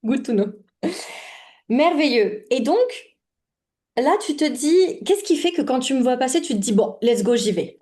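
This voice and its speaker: Woman podcast host, higher voice, slangy. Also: expressive, unhurried, a little mellow. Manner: informal, slangy, sprinkles in English words. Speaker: podcast host and money coach. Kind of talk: casual conversational interview in slangy French.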